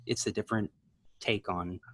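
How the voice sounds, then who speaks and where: A man co-hosting a podcast, talking casually in a measured, explanatory way with dry quips. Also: on the low side, steady tone, a bit bright. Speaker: podcast co-host. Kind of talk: casual conversational chat.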